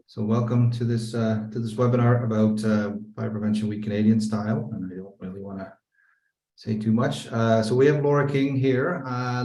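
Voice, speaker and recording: A man's voice: fairly low pitch, mellow. A man moderating a webinar on a video call, introducing the speaker hesitantly with many fillers. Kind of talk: casual introduction. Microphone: computer mic on a video call. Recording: echoey room on a call.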